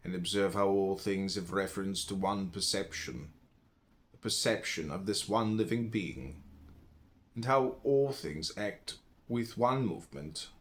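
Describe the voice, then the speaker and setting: A man reads philosophy aloud as a narrator, phrasing it deliberately and emphatically with pauses. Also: fairly low pitch. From United States. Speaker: audiobook reader.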